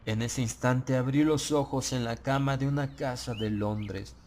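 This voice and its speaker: A man narrating a gothic tale as an audiobook, in a solemn, measured, literary delivery with phrases that fall away at the end. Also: mid-range voice, steady tone, darkish tone. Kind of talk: gothic horror story narration in Spanish, first person. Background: background music.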